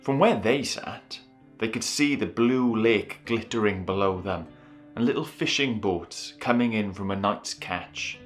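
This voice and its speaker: A man's voice: fairly low pitch, unhurried, soft background music. A man presenting and reading a children's Bible story in a chatty, storytelling style.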